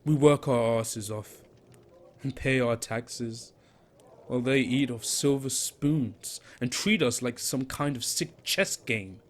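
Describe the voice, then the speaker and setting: A man's voice: medium pitch, slow pace, close to an everyday voice. An actor playing an angry man who rails against the rich, impassioned and lecturing.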